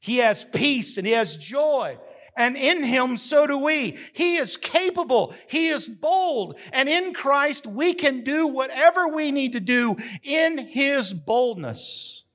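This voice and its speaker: A man in his fifties preaching a sermon as pastor from a church platform, animated and breaking into shouts and laughs. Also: very high-pitched, slow pace. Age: 50s.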